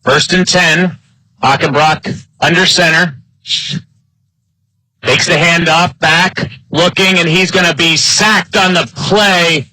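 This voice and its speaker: Bright, higher-voiced American man calling football. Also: steady tone, takes it slowly, pauses often, dry recording, rough recording. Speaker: play-by-play sports announcer. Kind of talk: live American football play-by-play commentary.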